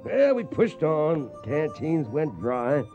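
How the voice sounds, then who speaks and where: A man's voice: a little high, very animated, slow, dark tone, dull audio, soft background music. An actor playing a former cowhand reminiscing in folksy style as he narrates a Western radio drama. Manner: folksy storytelling. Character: reminiscing folksy male former cowhand telling his tale.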